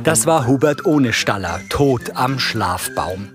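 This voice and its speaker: Male narrator with lively pitch over music. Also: takes it slowly. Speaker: narrator of audio description. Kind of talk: scripted narration, descriptive.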